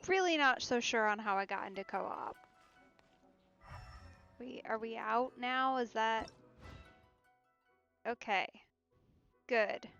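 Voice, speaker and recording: A woman's voice: a little high, slow, vocal fry, long pauses, fairly bright tone. A woman streamer giving casual let's play commentary with wry, conversational asides, on a slightly dull mic. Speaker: game streamer. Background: game music.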